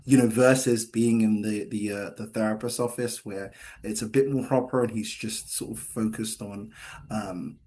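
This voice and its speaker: Man giving halting, thoughtful answers. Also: on the low side, fairly even intonation, a little mellow. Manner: halting, hedging with many repeats. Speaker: screenwriter answering questions. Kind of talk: post-reading Q&A discussion.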